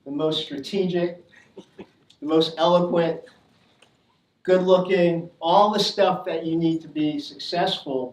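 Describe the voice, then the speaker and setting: A man's voice: a little high, a little hiss or hum. A man hosting a college business event, speaking casually and joking with the students. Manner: upbeat, joking with the audience. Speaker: college faculty host. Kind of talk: casual introductory speech. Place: lecture hall. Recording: roomy hall, picked up from a distance.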